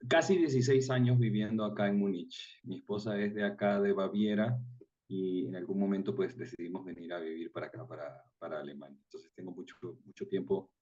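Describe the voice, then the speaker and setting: A man's voice: sits low, brisk, darkish tone. A middle-aged man from Ecuador with an Ecuadorian accent, explaining things through examples in a casual interview as the guest. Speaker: interview guest, tour guide. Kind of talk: casual interview, personal anecdotes. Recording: distant mic, slightly muffled sound.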